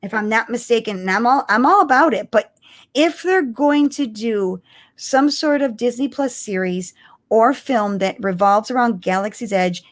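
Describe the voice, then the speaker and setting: A woman's voice: fairly high pitch, normal speed. A woman co-hosting a live podcast, chatting casually and emphatically like an eager fan. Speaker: podcast co-host.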